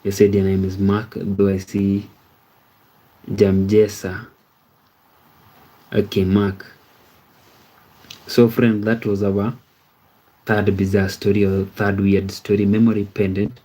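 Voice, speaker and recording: A man in his thirties hosts a relaxed livestream, talking straight to his viewers. His voice sits low and mellow with a fairly flat, even delivery. He rambles, repeats words and keeps checking in with "you see?". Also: takes it slowly, pauses often, poor audio. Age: 30s. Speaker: livestream host. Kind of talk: casual livestream chat reading viewer comments.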